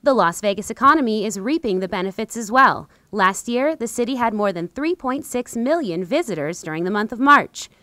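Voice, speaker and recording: A woman's voice: mid-range voice, expressive, fairly quick, hardly any pauses, bright, forceful tone. A woman reporter delivering scripted news narration for a television package.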